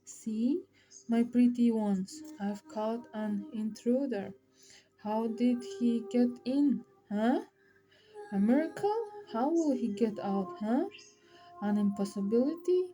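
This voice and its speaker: A woman's voice: a little high, slow pace, warm tone, faint music behind, low-quality recording. A woman voicing a cruel, gloating witch in a storybook, taunting and commanding her captive. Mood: gloating, mocking. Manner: mocking, commanding, punctuated with "eh?" and "huh?". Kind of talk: storybook read-aloud.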